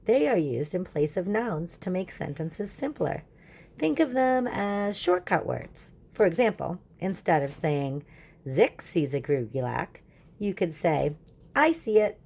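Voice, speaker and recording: A woman's voice: lowish voice, very animated, a little slow, creaky, a little mellow, voice pushed up, exaggerated voice. A woman voicing an eager, helpful boy space explorer in a children's storybook, enthusiastically explaining things.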